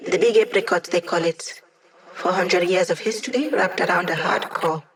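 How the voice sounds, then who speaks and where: A woman's voice: fairly low pitch, close to an everyday voice. A woman playing a wry, teasing young hacker. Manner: wry, teasing, quick quips. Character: witty young female hacker, playful and sly. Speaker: hacker support character. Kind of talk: video game voice lines.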